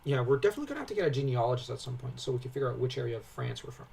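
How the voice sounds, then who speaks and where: A man's voice: mid-range voice, lively intonation, very fast, vocal fry, a little mellow, distant mic, a little hiss or hum. A young man co-hosting a casual lore breakdown, talking in an enthusiastic, rambling way full of tangents. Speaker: co-host commentator.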